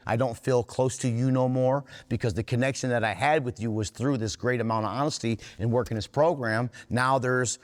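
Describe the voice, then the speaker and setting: Earnest man speaking in a reverberant hall. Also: medium pitch. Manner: earnest, plain-spoken, repeats and rephrases points. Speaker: workshop speaker at a recovery meeting. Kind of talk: candid recovery talk to a group.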